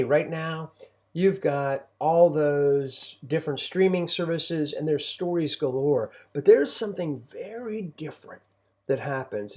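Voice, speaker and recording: A middle-aged man talking conversationally as a parent and co-host, reflective and halting, often repeating phrases. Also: on the high side, warm tone.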